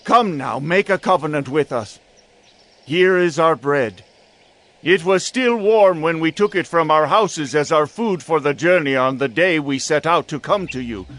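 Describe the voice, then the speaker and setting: High-voiced pleading ancient envoy. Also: male voice, unhurried, a bit bright. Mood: earnest, persuasive. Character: pleading Gibeonite envoy, humble, anxious man. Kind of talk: dramatized audio Bible acting.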